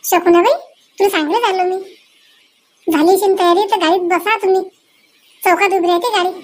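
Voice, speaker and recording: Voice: extremely high pitch, fairly even intonation, normal speed. A performer voicing a flustered, evasive village husband in a comedy cartoon, with a Varhadi Marathi accent, hurriedly repeating words. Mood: bossy, hurried. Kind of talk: exaggerated cartoon comedy dialogue.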